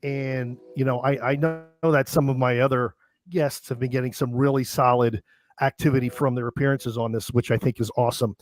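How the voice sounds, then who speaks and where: A man's voice: medium pitch, steady tone, fairly quick, faint music behind. A man hosting a live online show who is getting over an illness and losing his voice, chatting and thanking his guests in a rambling way. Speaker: host. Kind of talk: live stream chat.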